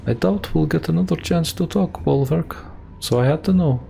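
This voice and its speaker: A man's voice: a little high, normal speed, gravelly, warm tone, faint music behind, pitched above the performer's own voice. A man voicing a defiant woman warrior who pleads with and scolds an old comrade, raising his voice well above his own. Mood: pleading.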